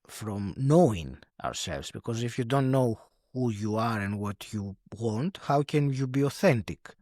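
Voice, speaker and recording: Man, halting and reflective. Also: medium pitch, animated, darkish tone, no room sound, studio quality. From Greece. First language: Greek. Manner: hesitant, many fillers and restarts, laughs often. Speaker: podcast guest. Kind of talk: reflective conversational interview.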